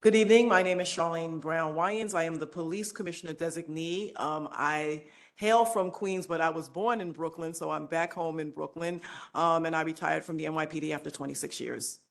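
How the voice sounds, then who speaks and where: A woman's voice: deep voice, a little fast, creaky. A middle-aged woman introducing herself as a board member in a friendly, conversational way.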